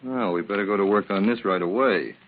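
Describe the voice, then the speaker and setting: An actor playing a bossy, cynical gangster racket boss with tough, slangy talk in an old-time radio drama. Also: male voice, fairly low pitch, a little fast. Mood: menacing, commanding. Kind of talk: 1940s radio crime drama acting. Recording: muffled, noisy old radio recording.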